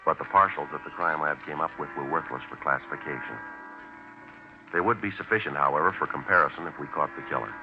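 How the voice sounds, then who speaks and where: An actor with an American accent playing a dry, methodical police detective, narrating his case in clipped, matter-of-fact radio drama style. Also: very deep voice. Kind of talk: 1940s-50s radio drama acting, clipped procedural narration. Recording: dull, noisy old radio recording.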